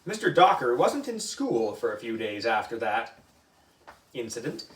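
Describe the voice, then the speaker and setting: A man reading a children's story aloud in an animated narrating style. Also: medium pitch, unhurried. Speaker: book club reader. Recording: echoey room, distant mic.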